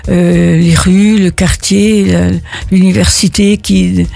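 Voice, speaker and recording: An older retired woman from Italy, answering interview questions in a halting way, full of restarts. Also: on the low side, little pitch movement, creaky, warm tone. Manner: halting, with many restarts and fillers. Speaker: interviewee, neighborhood volunteer.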